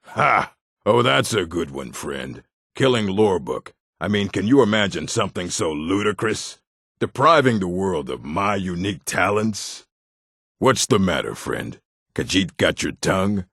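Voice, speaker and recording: A showy orc bard for a video game, deep husky voice, boastful and sing-songy. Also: male voice, big pitch swings, a little slow, a bit bright, no room sound, clean studio sound, exaggerated voice. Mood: boastful, jovial. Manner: theatrical, sing-song, boastful. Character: jovial, vain orc bard with theatrical flair. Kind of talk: video game character acting.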